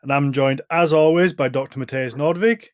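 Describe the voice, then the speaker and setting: A man's voice: fairly high pitch, normal speed. A man with a British accent hosting a podcast in a casual, informal and self-deprecating way. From Britain. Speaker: podcast host.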